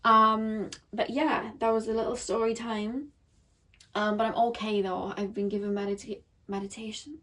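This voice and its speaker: A woman with a croaky, slightly shaky voice giving a chatty, rambling tarot reading to her viewers. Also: on the high side, fairly even intonation, moderate pace, a bit bright, distant mic. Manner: chatty, rambling with many ums and likes. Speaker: tarot reader. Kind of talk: pick-a-card tarot reading. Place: seated behind a camera at home.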